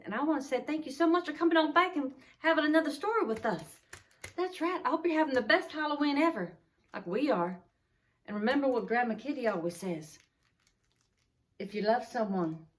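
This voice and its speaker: A woman's voice: high voice, lively intonation, brisk. An older woman hosting children's story time, chatting casually and in a folksy way to young viewers. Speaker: children's story reader and host.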